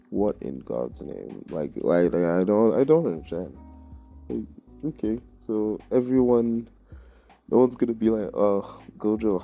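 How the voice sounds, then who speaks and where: A man with a notably low voice delivers a loose, slangy commentary rant. His tone is very dark and muffled-warm, with plenty of gravelly fry. He swears freely and sounds exasperated as he argues his opinion. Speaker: anime commentary video creator.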